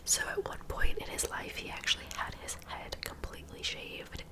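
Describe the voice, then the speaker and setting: A woman whispering softly and informally in a breathy ASMR storytelling style, taking her time. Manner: soft, unhurried, informal. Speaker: ASMR host narrating true crime.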